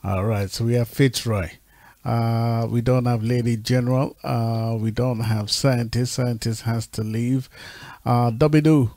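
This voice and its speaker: Man, radio talk host, halting with fillers, dry close sound. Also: medium pitch, fairly even intonation, dark tone, dry recording. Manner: halting, many fillers, apologetic about connection trouble. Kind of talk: casual radio talk over a call.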